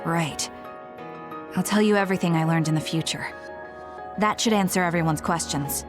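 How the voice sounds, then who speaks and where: An actress playing an earnest, composed young psychic soldier in a video game, speaking in a measured, serious way. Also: female voice, on the low side, music in the background, close to an everyday voice. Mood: grave, explanatory. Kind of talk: English video game dub acting.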